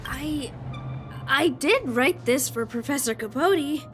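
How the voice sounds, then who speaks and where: A high, very animated boy's voice, for a loyal young guard in a video game. He is hesitant and deferential, with slow, halting delivery. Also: soft background music. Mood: anxious, defensive. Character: loyal, flustered young boy guard, deferential to his lady.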